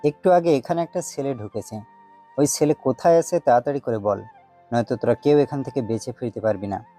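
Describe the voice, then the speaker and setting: A man acting as an arrogant young gang boss in an audio drama. His voice is dark and mellow, and his manner is domineering and violent. He speaks in threats, over a music bed. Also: medium pitch, a little fast, natural voice. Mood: menacing.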